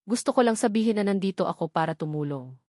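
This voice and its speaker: A woman's voice: medium pitch, lively intonation, quick pace, warm tone, no echo, lightly acted. Woman acting sly male villain, dry sound. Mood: sly malice. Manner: slyly polite, insinuating. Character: smirking, malicious male business rival, falsely helpful.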